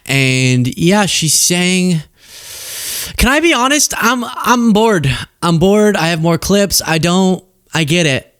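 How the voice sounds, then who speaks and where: An American man with a fairly high voice, recorded dry and close. He talks like a commentary host reacting to clips, casual and conversational, with sarcasm and open disbelief. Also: a bit bright, clean tone, no room sound. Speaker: political commentary show host.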